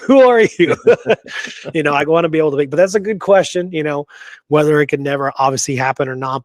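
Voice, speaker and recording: An American man co-hosts a relaxed history talk in a mid-pitched voice. He speaks informally and leans on repeated words for emphasis. The sound is very dry, with no room around it. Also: no echo. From Pacific Northwest, United States. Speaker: show co-host and history researcher. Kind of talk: casual history discussion.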